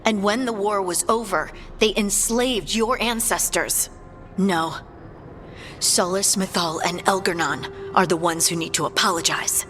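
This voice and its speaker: A woman's voice: medium pitch, unhurried, a bit bright, faint music behind, close to an everyday voice. A woman voicing an aggrieved, resolute dwarf woman in a video game cutscene. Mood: hurt, angry.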